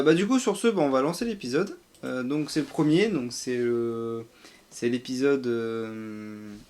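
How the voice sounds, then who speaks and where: A man's voice: mid-range voice, darkish tone. A young man co-hosting a casual live stream, hesitant and rambling as he thinks aloud. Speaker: streamer, co-host.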